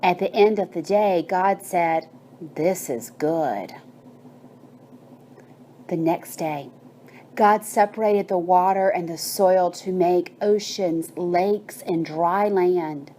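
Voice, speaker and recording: A woman narrating a bedtime story and guided meditation in a soothing, measured manner with drawn-out phrases. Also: lowish voice, warm tone, a little hiss or hum.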